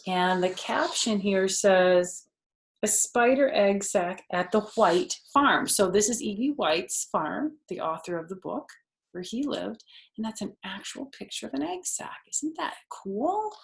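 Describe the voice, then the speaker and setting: An older woman reading aloud to children as a storyteller, gentle and expressive, with friendly asides. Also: mid-range voice, a little slow, vocal fry.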